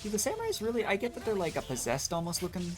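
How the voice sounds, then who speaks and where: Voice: medium pitch, wildly swooping pitch, racing. A game streamer giving casual, chatty live commentary, musing aloud while playing. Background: faint game music.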